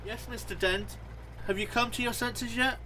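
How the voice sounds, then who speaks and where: A man's voice: very high-pitched, steady tone, normal speed, pushed and bright. A man acting a hesitant, deferential, easily persuaded foreman in a radio play. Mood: dry, stubborn. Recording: noisy outdoor ambience with vehicle sounds.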